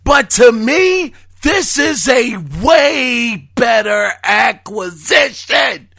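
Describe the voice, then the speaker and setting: A man hosting his own podcast, talking in a hoarse voice and often shouting with excitement. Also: very high-pitched, drawn-out words. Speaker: podcast host. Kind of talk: casual podcast monologue.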